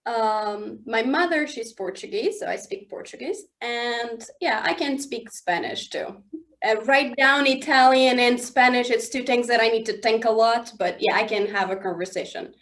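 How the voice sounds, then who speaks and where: Woman, English, halting delivery, fairly high pitch, guest in a casual studio chat. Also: fairly bright tone. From southern Germany. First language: Swiss German. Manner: halting, searching for English words, laughs often. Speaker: interview guest. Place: indoor studio under lights.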